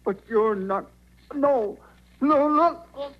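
An actor playing a terrified, disbelieving intruder in a 1940s radio drama. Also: male voice, very high-pitched, drawn-out words, full character voice. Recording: muffled, noisy 1940s radio recording.

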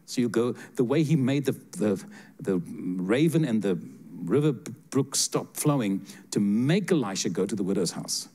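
An older man preaches to a seated congregation in a church sanctuary, talking straight to individual listeners. His handheld mic picks up a voice of middling pitch that moves between earnest prophecy and light teasing jokes. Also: normal speed. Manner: animated, joking, direct address to listeners. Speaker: guest preacher giving prophetic words.

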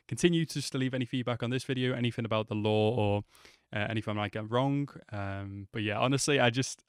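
A man's voice: mid-range voice, fast, clean tone, no echo. A man in his twenties giving casual, enthusiastic, slangy reaction commentary into a close microphone. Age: 20s. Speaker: reaction video host. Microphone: close desk microphone, headphones on.

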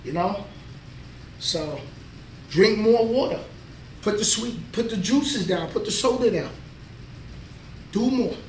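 Man in his thirties, plain-spoken motivational vlogger, fairly high voice, a bit off mic. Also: lively intonation, slow, long pauses, darkish tone, far from the mic, a little hiss or hum. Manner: motivational, plain-spoken, talks between sets. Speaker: vlogger, trucker. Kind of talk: casual workout vlog. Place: small indoor gym. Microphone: camera mic from a few feet away. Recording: echoey room, voice a bit off mic.

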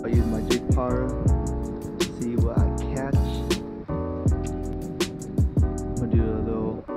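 A man's voice: medium pitch, level tone, a little slow, over loud music. A man fishing from a rocky bay shore, describing his catch in short, easygoing remarks. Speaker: fishing vlogger. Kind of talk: casual outdoor chat.

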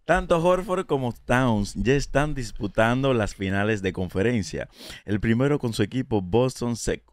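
A Dominican man in his thirties reads sports news on a comedy radio show, tripping over foreign names and laughing as he goes. His voice swings widely in pitch and has a smooth, resonant tone. The studio sound is close and dry. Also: animated, normal speed, dry recording. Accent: Dominican Spanish. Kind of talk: mock newscast, stumbling comic reading. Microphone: studio mic on boom arm, headphones.

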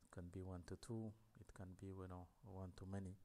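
Guy lecturing over slides, deep mellow voice, lots of uhs and restarts. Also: flat delivery. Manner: halting, many fillers and restarts.

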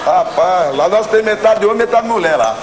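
A man's voice: sits high, lively intonation, a little fast, background noise. A middle-aged man from São Paulo, pulling a prank and playing innocent at a café counter. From São Paulo, Brazil. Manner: playful, feigning innocence. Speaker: prank show actor. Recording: echoey café with crowd.

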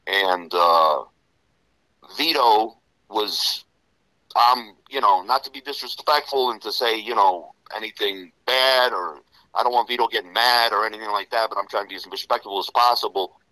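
Bright-voiced New York Italian-American man. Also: fairly low pitch, moderate pace, no echo, low-quality recording. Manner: rambling, heavy with "you know" fillers. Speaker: interview guest. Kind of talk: casual storytelling interview.

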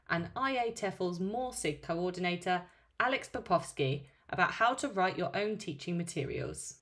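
A woman's voice: medium pitch, bright, forceful tone. A woman hosting a teaching podcast, interviewing a guest in a friendly, encouraging way. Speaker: podcast host.

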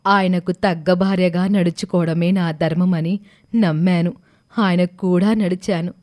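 Grave, kindly schoolmaster's widow for a cartoon, played by a woman: lowish, measured, earnest. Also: steady tone, a little mellow, natural voice. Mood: earnest, sorrowful. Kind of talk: cartoon story voice acting.

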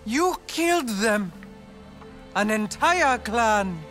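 Grave alien matriarch, slow deliberate pace, weary, authoritative, solemn, game drama, strong music behind. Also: female voice, fairly high pitch, animated, a bit bright, full character voice. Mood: grieving, condemning. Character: weary, grave female Na'vi spiritual leader, authoritative. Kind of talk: dramatic video game cutscene acting.

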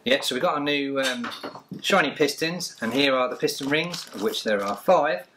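A man's voice: medium pitch, normal speed, noisy background. A middle-aged man co-hosting in a garage workshop, chatting in an easygoing, plain-spoken way. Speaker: co-host mechanic. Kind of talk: casual workshop chat.

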